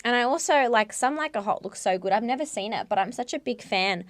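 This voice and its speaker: A young woman co-hosting a casual chat, playful and quick to laugh. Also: a little high, lively intonation, dry recording. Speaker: co-host.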